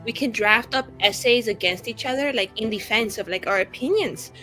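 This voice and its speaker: A woman voicing a bubbly, eccentric young mage who chatters haltingly in improvised tabletop roleplay, full of filler words. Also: a little high, sharp tone, faint music behind, poor audio. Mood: eager, reassuring.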